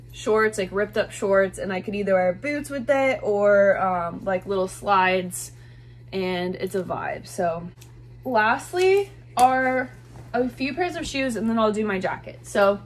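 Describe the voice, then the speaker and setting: A woman's voice: medium pitch, a little hiss or hum. A woman in her twenties talking casually to camera in a small bedroom, gushing about thrifted clothes with lots of "like" fillers. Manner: chatty, gushing, many "like" fillers. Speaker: fashion vlogger. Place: small bedroom with bed, indoors.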